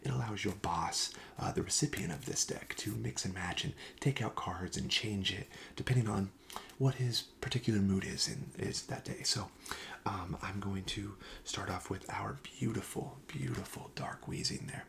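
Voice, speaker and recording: A man playing a friendly, reassuring card shop clerk in a soft-spoken ASMR roleplay, breathy and often near a whisper, with casual, hesitant sales patter. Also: lowish voice, moderate pace. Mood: calm, explanatory.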